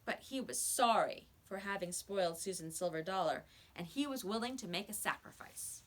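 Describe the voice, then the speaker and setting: A woman reading a children's story aloud in expressive narration. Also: mid-range voice, moderate pace. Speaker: storybook reader.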